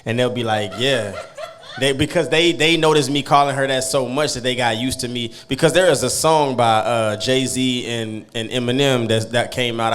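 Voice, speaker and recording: A man hosting a podcast, talking in a relaxed, slangy way and often softly. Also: medium pitch. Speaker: podcast host. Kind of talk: casual podcast interview.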